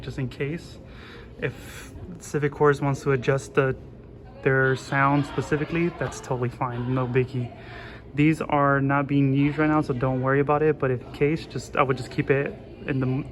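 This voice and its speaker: A young man giving a casual hands-on tutorial in an informal, reassuring, plain-spoken way, recorded on a phone in a large indoor hall. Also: mid-range voice. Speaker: instructor. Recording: steady background noise, room ambience.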